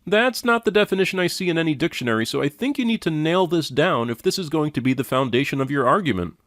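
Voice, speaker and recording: A man's voice: on the high side, animated, fast, a bit bright, no room sound, studio quality. A man giving critical commentary in a skeptical, sardonic and challenging manner. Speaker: commentator reviewing and rebutting a lecture.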